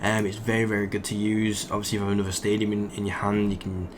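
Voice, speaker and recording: A young man, croaky from just waking up, rambling casually as he commentates a card game he is playing. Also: lowish voice, completely flat delivery, fast talker, background noise. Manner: rambling, thinks aloud with fillers. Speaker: gaming channel host.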